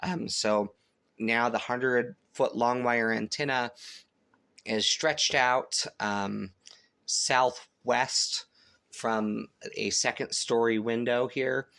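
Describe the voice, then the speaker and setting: A man giving a casual hands-on product review, thinking aloud in a halting way. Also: medium pitch, steady tone, gravelly, bright, forceful tone, smooth, no room sound. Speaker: host of a shortwave radio review channel. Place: indoors at home, upstairs room.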